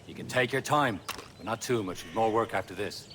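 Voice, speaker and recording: An actor playing an encouraging, gently teasing assassin mentor giving training orders in a video game. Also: male voice, lowish voice, a little fast, lightly acted. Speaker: shooting instructor. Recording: clear background noise, outdoor ambience.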